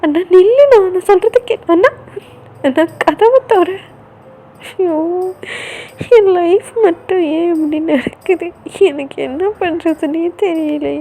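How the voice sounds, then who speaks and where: Lady doing a crying young girl, pleading hard, high voice, soft dark tone. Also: takes it slowly, creaky, exaggerated voice. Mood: begging. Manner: tearful, pleading. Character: despairing young woman pleading for freedom.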